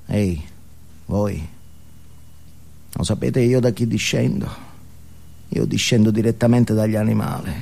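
A man's voice: fairly low pitch, steady tone, slow pace, vocal fry, pauses often, heavily acted. An actor playing an unhinged, foul-mouthed, alienated man in an intense, volatile comic stage monologue. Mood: menacing, building. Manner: intense, volatile, emphatic, swinging from muttering to yelling.

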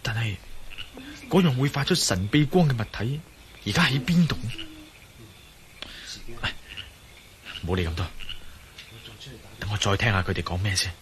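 Lowish hong kong cantonese radio drama hero, worried, thinking out loud to himself. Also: male voice, very animated, normal speed, long pauses, background noise. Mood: worried, pondering. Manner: musing to himself. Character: concerned, deliberating hero secretly eavesdropping.

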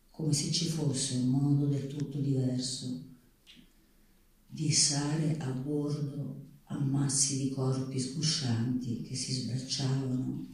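A man reading a literary story aloud on stage in a measured, narrative way. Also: medium pitch, warm tone. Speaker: reader at a literary event. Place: stage at a public event. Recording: very echoey hall, distant microphone.

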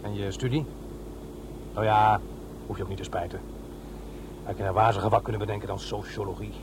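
A man's voice: lowish voice, flat delivery, fairly quick, noisy background, lightly acted. An actor playing a practical, sceptical middle-aged divorced father in a Netherlands Dutch radio play, both talking and narrating. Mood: brisk, sceptical.

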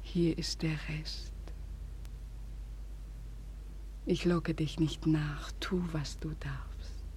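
An actress playing a despairing young woman in a 1940s German radio drama, with slow, breathy, solemn declamation on a noisy old recording. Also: deep voice, fairly even intonation, a little mellow, whispered. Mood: hushed farewell. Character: despairing young woman bidding farewell before suicide.

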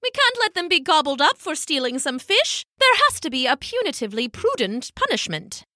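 A woman's voice: sits high, big pitch swings, normal speed, sharp tone, dry recording, clean studio sound, pitched above the performer's own voice, full character voice. A woman voicing an elderly female penguin who is fussy and indignant, with exclamatory, alliterative phrasing, for a children's story. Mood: alarmed, scolding.

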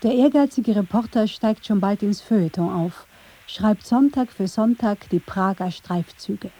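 A woman's voice: mid-range voice, a little slow, dark tone, slight background noise. A woman reading scripted narration for a radio documentary.

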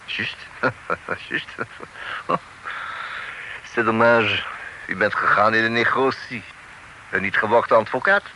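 A man's voice: on the low side, slow, pauses often, bright, forceful tone, full character voice, poor audio. A man playing a wry French-accented official. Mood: amused. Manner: dry, knowing, chuckles, sprinkles French words. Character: worldly French-speaking male official, wry. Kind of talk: radio play acting. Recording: old radio recording with faint hiss.

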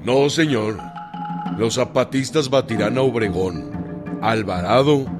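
Radio drama role: Mexican revolutionary general played by a man, curt and authoritative, mid-pitched, music behind. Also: a little slow, natural voice. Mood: firm, commanding.

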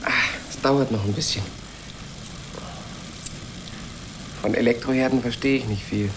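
An actor playing an awkward, shy space traveller in a radio play, speaking haltingly and dryly, often as if to himself. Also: male voice, mid-range voice, steady tone, noisy background, lightly acted. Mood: shyly sincere. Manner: hesitant, halting, often breaking off and restarting. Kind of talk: naturalistic German radio play acting, partly as a spoken log.

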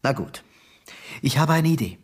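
An actor in a radio drama playing a scheming Soviet official, pleading in a husky, breathy voice. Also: male voice, medium pitch, lively intonation, normal speed, lightly acted. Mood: pleading, conspiratorial.

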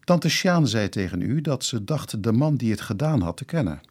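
An actor playing a courteous, methodical police inspector in a Dutch radio play, with a probing, matter-of-fact manner. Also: male voice, medium pitch, very animated, normal speed, a little mellow. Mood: polite.

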